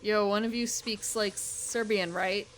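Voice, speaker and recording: A woman's voice: medium pitch, fairly even intonation, a little slow, a little hiss or hum, poor audio. An American woman giving casual, rambling live game commentary as a streamer, chatting informally with viewers. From United States.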